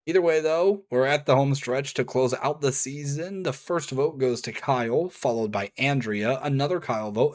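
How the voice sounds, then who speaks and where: A man reading a scripted review, with casual offhand asides and a voice gone strained and a little hoarse. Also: mid-range voice. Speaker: video reviewer.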